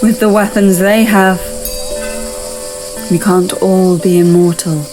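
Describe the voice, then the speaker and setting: A woman's voice: on the low side, fairly even intonation, slow pace, darkish tone, soft background music, low-quality recording. A woman playing a stern, weary alien villager in a science fiction audio drama, speaking tersely and giving instructions.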